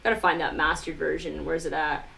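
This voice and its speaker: A woman's voice: sits low, level tone, fairly quick, vocal fry, bright, forceful tone, slight background noise. A woman live streamer talking casually to her viewers, rambling informally with lots of "like" and some mild swearing. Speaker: live streamer, music producer.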